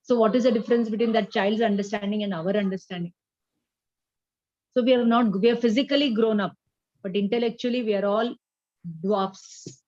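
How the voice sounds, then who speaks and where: A woman with an Indian accent giving a spiritual class, explaining deliberately and checking that listeners follow. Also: mid-range voice, steady tone, a little mellow, no room sound, muffled recording. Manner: didactic, deliberate, checks understanding with questions. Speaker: religious teacher.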